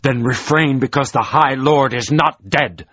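A grave, weary lord speaks in slow, formal, old-fashioned sentences as a man reading the book gives him voice. The tone is husky and dry. The recording sounds dull and lo-fi. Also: medium pitch, a bit bright, no room sound, voice pushed up. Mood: solemn. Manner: measured, formal, archaic speech. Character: solemn grave male lord, stern, weary.

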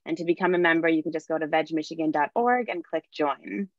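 A woman's voice: low voice, fast talker, no echo. A young woman, a nonprofit's outreach manager, reading a scripted event introduction on a video call. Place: indoor room, video call. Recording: dull lo-fi video call audio.

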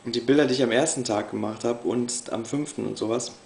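A man's voice: medium pitch, steady tone, brisk, vocal fry, a little mellow, reverberant, slight background noise. A man in his thirties chatting casually in a home video diary, recorded indoors on a camera.

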